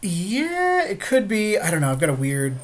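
A man's voice: high voice, huge pitch swings, moderate pace. A man co-hosting casual game commentary, conversational and repeating phrases as he thinks. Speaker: podcast co-host.

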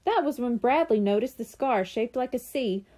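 A woman reading a children's storybook aloud in an expressive, animated way, acting out the dialogue. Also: fairly high pitch, moderate pace. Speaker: audiobook reader.

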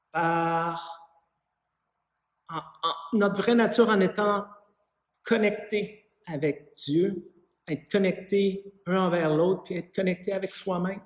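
In an echoey room, a man in his fifties preaches with a Quebec French accent. His voice sits high for a man, and he takes his time, phrasing things in a measured, conversational way. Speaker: parish pastor. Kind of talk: sermon. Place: small vaulted room with an arched window, indoors.

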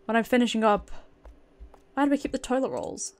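High-voiced British woman streaming a game. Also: fairly quick. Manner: chatty, giggly reactions. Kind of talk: casual video game livestream commentary, reading game dialogue aloud.

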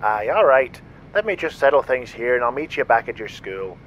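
A man's voice: medium pitch, sing-song, moderate pace, a bit bright, lo-fi sound, slight background noise. An actor playing an obliging male ally in an audio drama. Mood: agreeable.

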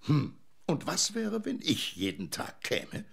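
Gravelly, kindly old grandpa. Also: male voice, on the high side, wildly swooping pitch, slow, a bit bright, exaggerated voice. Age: older. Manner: jovial, folksy. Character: kindly, helpful old grandpa-like bookshop helper. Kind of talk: children's audio drama acting.

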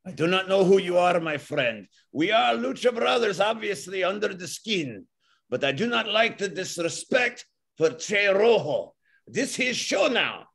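Loud, haughty Latin luchador in comedy banter, raspy, piercingly bright, broken English. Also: male voice, high-pitched, sing-song, a little slow, exaggerated voice. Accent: put-on Latin American. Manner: theatrical, indignant, broken English. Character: indignant, loud Latin luchador show-stealer.

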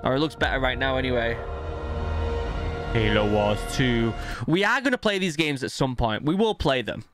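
A man's voice: mid-range voice, lively intonation, moderate pace, over music. A young man hosting a reaction video, chatting informally and eagerly into a close desk microphone. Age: young adult. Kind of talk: casual chat and reactions. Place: indoor home studio. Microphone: close desk microphone on arm.

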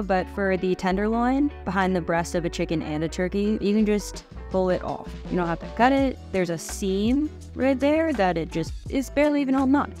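A woman's voice: mid-range voice, a little mellow. A young adult woman chatting casually as a cooking vlogger, with a wry, self-deprecating manner. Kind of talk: casual chat while cooking. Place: home kitchen, indoors.

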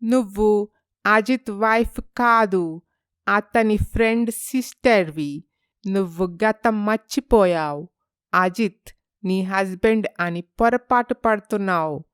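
A woman voicing a calm, self-assured psychiatrist in a moral story, explaining things in a measured, patronizing way. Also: medium pitch, clean tone, no echo, clean studio sound, close to an everyday voice. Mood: firm, explaining. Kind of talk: dramatized moral story dialogue.

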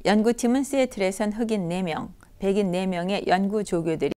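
A woman's voice: medium pitch, a little fast, mellow. A woman news anchor reading scripted news.

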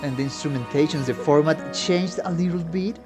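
A man's voice: fairly high pitch, brisk. A young man, a musician, giving casual, effusive reaction commentary full of slang and swearing in a room at home. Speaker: musician reacting to game trailers. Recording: game trailer music behind. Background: game trailer music.

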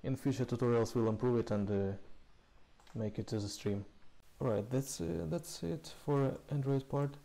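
A man presenting a programming tutorial in a casual walkthrough style, speaking haltingly in short, hesitant phrases. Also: mid-range voice, vocal fry, warm tone. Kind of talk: casual screencast code walkthrough. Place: indoors, voice-over recorded with screen capture.

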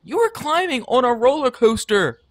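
A woman's voice: on the high side, sing-song, normal speed, dry recording. An actress playing a brash, cocky, foul-mouthed boy in an audio drama. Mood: irritated. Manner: brash, defiant.

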